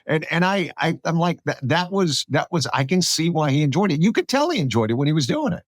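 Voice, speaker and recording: A man's voice: a little high, very animated, brisk, dry recording, studio quality. A man hosting an interview podcast in a casual, conversational way.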